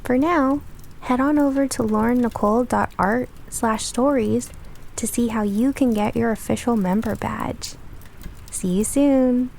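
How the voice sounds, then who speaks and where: A woman's voice: fairly high pitch, a little slow, gravelly, darkish tone, voice pushed up. A woman playing a friendly, chirpy girl heroine in an in-character radio drama promo, with noise behind her. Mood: friendly, inviting.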